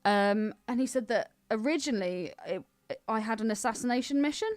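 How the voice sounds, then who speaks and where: A British woman with a fairly high voice chats casually as a podcast co-host. Her manner is informal, cheeky and teasing, and the sound is close and dry. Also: animated, fairly quick, no room sound. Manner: informal, teasing, sweary banter. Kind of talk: casual film podcast chat.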